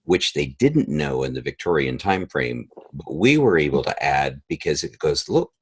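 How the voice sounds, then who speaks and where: A man's voice: very deep voice, very animated, moderate pace, breathy edge, no room sound. An older man chatting as a producer and guest in a conversational interview. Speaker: audio drama producer and composer, interview guest.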